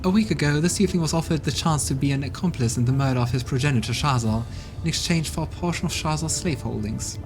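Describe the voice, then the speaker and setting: A man's voice: on the high side, quick pace, close to an everyday voice. A man playing a scornful, theatrical demon judge. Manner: declamatory, contemptuous. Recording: background game music.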